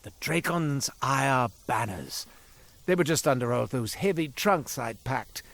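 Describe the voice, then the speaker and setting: An actor playing a beleaguered, sardonic ex-thief shopkeeper in a video game, rambling theatrically with exasperated sarcasm. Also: male voice, medium pitch, animated, unhurried, gravelly, a bit bright, a little hiss or hum. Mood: wistful boasting. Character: beleaguered, sardonic, cursed former thief turned quartermaster shopkeeper. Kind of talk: theatrical scripted character dialogue.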